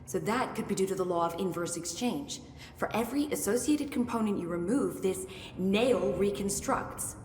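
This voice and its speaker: A woman's voice: mid-range voice, moderate pace, darkish tone, close to an everyday voice. An actress playing an eager, unflappable young woman scientist who talks in a chatty, analytical way in a video game. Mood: curious, helpful. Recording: echoey hall, faint ambient noise.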